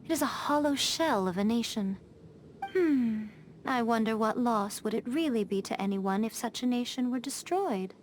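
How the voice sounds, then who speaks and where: A sly female confidante acted by a woman who needles and probes with knowing poise. Her voice is moderately high and her phrasing pointed, in dramatic fantasy game style. Faint ambience sits behind her. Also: expressive, moderate pace, slight background noise, close to an everyday voice. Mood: coolly provocative. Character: sly, probing female confidante challenging her divine ruler. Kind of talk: dramatic video game voice acting.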